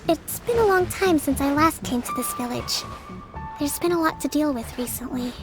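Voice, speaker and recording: An actress voicing a gentle young island leader in an anime game, speaking in a formal, measured, diplomatic way. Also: female voice, sits high, lively intonation, over music. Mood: composed, reflective.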